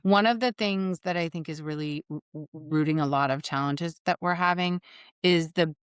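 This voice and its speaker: A woman's voice: low voice, normal speed, smooth, no room sound, clean studio sound. A woman from Massachusetts, a guest speaking in an earnest, thoughtful way and restarting her sentences often on a remote call. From Massachusetts, USA. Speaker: guest, retreat leader. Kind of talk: casual conversation.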